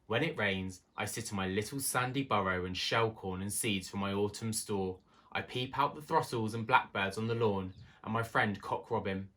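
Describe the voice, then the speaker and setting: A man's voice: lowish voice, moderate pace, a bit bright, lightly acted. A man voicing a timid, polite male country mouse in a storybook, flustered and eager to please. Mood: earnest, homesick. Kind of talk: storybook character voice.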